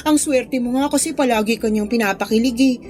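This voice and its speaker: A young Filipino woman, played by an actress as the gushing best friend in a radio drama. She is exclamatory and easily thrilled, with a high voice over background music. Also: fairly quick, a little mellow, natural voice. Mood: teasing, admiring.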